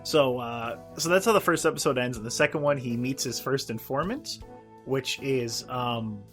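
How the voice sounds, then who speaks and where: A man co-hosting a podcast, recapping in a casual, halting, profane and sardonic way. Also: mid-range voice, a bit bright, faint music behind. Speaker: podcast co-host. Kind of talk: casual episode recap chat.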